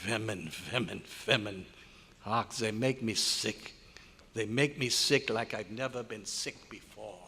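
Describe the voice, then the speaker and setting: A man's voice: fairly low pitch, measured pace, vocal fry, sharp tone, a little hiss or hum, heavily acted. A man playing an irritable, pompous German scientist in an old-time radio drama, declaiming with exasperation and a German accent. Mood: exasperated. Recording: echoey hotel hall live performance.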